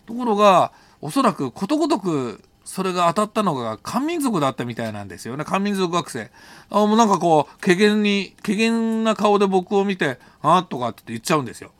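A man casually telling a personal travel story, colloquial and animated, acting out bits of dialogue. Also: sits high, a little fast, no echo. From Japan. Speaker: solo audio storyteller, host.

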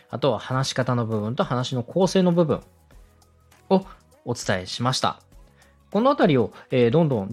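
A man hosting a show, politely giving step-by-step advice on presenting and slipping in example phrases. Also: mid-range voice. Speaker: radio director and podcast host giving advice.

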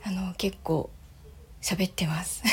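A woman chatting casually and politely in a soft, breathy voice, with gentle laughs, as the host of a morning audio show. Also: on the low side, steady tone, takes it slowly, slight background noise. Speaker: voice actor and audio channel host.

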